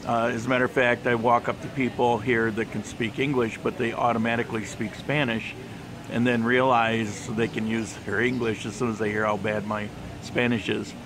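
A relaxed man narrates a walking tour of a mall, chatting casually with lots of ums and uhs. His voice sits at an ordinary middle pitch. Clear traffic and mall noise run behind him on a handheld camera mic. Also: normal speed. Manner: chatty, relaxed, with frequent fillers. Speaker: travel vlogger. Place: outdoor mall terrace and shopping center.

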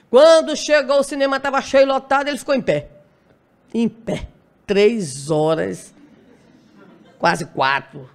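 A woman in her sixties from Brazil giving a spiritist lecture at a lectern, telling a life story with dramatic pauses. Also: medium pitch. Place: dim lecture hall stage at a lectern. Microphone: stand mic at lectern.

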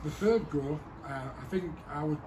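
A man interviewing players and staff after a football match, asking questions in a quick, friendly way. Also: a little high, distant mic. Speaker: club media interviewer. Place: football stadium stand, outdoors. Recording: outdoor camera audio with noise.

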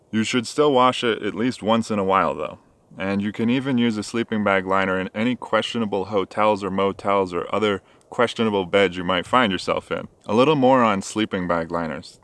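A man's voice: lowish voice, a bit bright, no room sound. Man vlogging how-to tips outdoors. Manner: friendly, direct how-to delivery. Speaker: motorcycle camping vlogger. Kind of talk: scripted tips vlog. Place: outdoors at a forest campsite. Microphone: camera held at arm's length.

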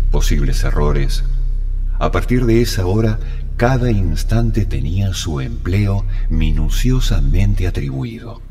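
A man narrating a literary story in a dramatic, measured and deliberate way. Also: low-pitched, mellow. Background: music.

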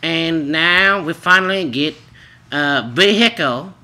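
A man acts out a naive immigrant wife on a prank call. The voice is high and very sharp, slow and halting. She presses on insistently in broken English. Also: full character voice. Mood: halting, earnest.

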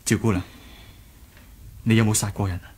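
An earnest young martial hero in a radio drama. His voice is fairly low and husky, and he speaks with a sincere, soft-hearted and slightly hesitant manner. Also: steady tone, normal speed. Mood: earnest, reproachful. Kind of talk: Cantonese 1980s radio drama acting.

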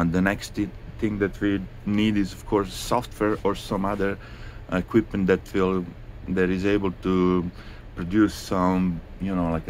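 A man giving a casual hands-on tutorial in a halting, informal way, repeating phrases as he goes. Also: deep voice. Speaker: hi-fi video host. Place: indoors in a room with audio gear. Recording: clearly noisy handheld home recording.

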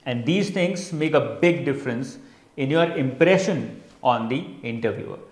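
A young man with an Indian accent giving an instructional talk as a coach, stressing keywords emphatically and pausing deliberately. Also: mid-range voice, animated. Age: young adult. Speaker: interview coach. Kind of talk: instructional lecture to camera. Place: indoor studio in front of a digital whiteboard. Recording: roomy echo.

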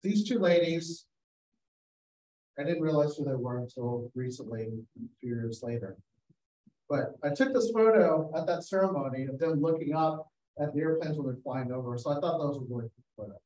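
A man's voice: on the high side, expressive, normal speed, dark tone. A man giving a storytelling slideshow presentation over a video call, relating anecdotes and quoting people as he goes. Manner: anecdotal, acts out quotes. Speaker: guest speaker, photographer. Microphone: video call, away from mic. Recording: roomy, distant-mic video call sound.

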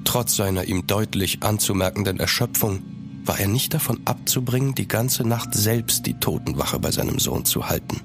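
An actor in a German horror radio play as a sceptical gentleman traveller, measured and grave. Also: fairly low pitch. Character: sceptical gentleman traveller recounting a dark tale. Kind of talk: scripted first-person radio drama narration.

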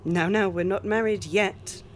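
A woman's voice: mid-range voice, steady tone, unhurried, a little hiss or hum, lightly acted. A woman playing a spirited, impatient young society bride-to-be in a period audio drama. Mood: indulgent.

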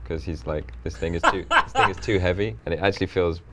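A man explaining his game programming in a relaxed, chuckling way at an office desk. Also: low-pitched, fast, very noisy. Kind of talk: casual chat.

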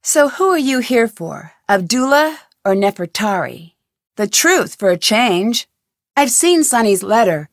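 An actress playing an inquisitive, persistent young woman detective in an adventure game, with clear, earnest, questioning delivery. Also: fairly high pitch, very animated, a little slow, dry recording, studio quality. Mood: probing, suspicious. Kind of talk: scripted adventure game voice lines.

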